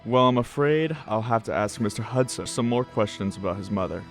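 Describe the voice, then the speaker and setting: A man's voice: lowish voice, animated, a little fast, soft background music. A man playing a pompous, wordy, muddled psychiatrist in a radio drama. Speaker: amateur radio drama actor.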